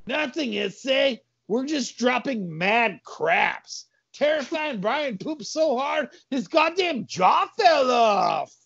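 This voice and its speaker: A man voicing a dim, excitable tough-guy sidekick in a comedy story, with goofy exclamations and silly slang. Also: very high-pitched, slow, vocal fry, few pauses, no echo, lo-fi sound, voice pushed up, heavily acted. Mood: nonchalant.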